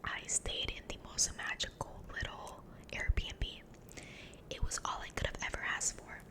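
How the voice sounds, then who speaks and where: A young woman whispering a rambling story close to the mic, softly repeating words to herself. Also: a little slow. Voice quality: unvoiced whisper.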